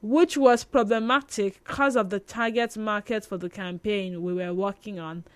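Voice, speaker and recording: A woman with a Nigerian accent hosting live radio, reading listener messages aloud in an expressive, conversational way. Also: mid-range voice, normal speed, clear, resonant tone, no echo. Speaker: radio show host. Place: radio studio.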